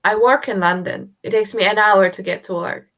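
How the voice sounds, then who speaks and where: A woman's voice: mid-range voice, steady tone, moderate pace, a bit bright. A woman chatting casually and informally as co-host of a live stream. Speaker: co-host of a book readalong stream. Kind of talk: casual chat.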